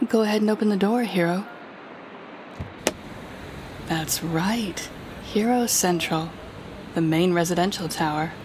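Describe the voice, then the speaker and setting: Woman acting a low-voiced, menacing villainess. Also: noisy background. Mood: commanding. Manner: commanding, taunting, deliberate. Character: cold, menacing telepathic villainess taunting a captive hero. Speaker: audio roleplay performer. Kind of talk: intimate second-person audio roleplay acting.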